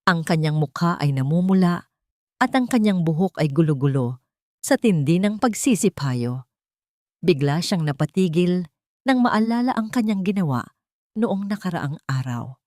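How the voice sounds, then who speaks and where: A woman's voice: on the low side, animated, moderate pace, darkish tone, no room sound, studio quality. A woman with a Filipino accent reads a scripted dramatic story as its narrator.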